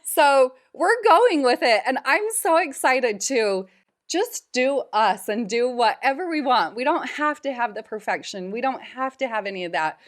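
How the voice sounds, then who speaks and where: Co-host: woman, higher voice, excitable, laughs a lot. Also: normal speed. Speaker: podcast co-host, mother. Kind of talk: casual chat.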